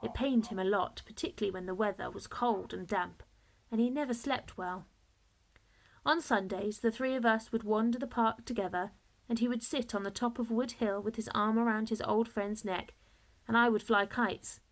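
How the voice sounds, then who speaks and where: A woman reading a children's storybook aloud as a first-person narrator, in a measured, expressive way. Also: fairly high pitch.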